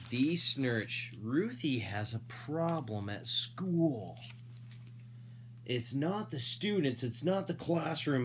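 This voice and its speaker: A man's voice: mid-range voice, a bit bright, slight background noise. A dad reading a picture book aloud expressively, drawing out emphasized words and adding playful, casual asides to his listeners. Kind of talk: animated storybook read-aloud with casual asides.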